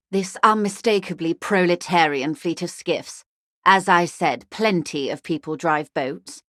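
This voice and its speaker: Game role: poised upper-class female negotiator, lower register, formal, measured, faintly arch, dry studio sound. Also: a bit bright, no room sound. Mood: wry, arch. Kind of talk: video game dialogue acting.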